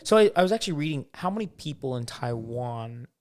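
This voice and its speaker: A man's voice: mid-range voice, big pitch swings, a little fast, darkish tone. A man co-hosting a casual talk podcast, giving firm opinions and explaining with everyday examples. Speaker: podcast co-host. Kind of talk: casual chat.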